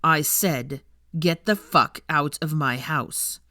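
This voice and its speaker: A woman voicing a quiet, brooding, firm young wizard man in a storybook reading. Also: takes it slowly, dry recording, studio quality, exaggerated voice. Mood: coldly angry. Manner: low-key, darkly firm.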